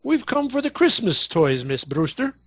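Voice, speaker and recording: Man acting friendly police officer, folksy, respectful, high voice, bouncy intonation, radio comedy. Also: big pitch swings, normal speed, a bit bright, no echo, heavily acted. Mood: cheerful, polite. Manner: folksy, deferential, chatty.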